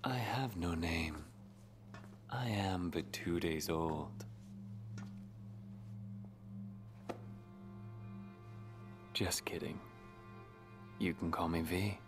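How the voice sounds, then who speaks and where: An actor playing a cryptic, brooding young man in a video game, hushed, breathy and measured. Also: deep voice, over music. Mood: cryptic, teasing. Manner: measured, theatrical. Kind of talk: video game cutscene acting.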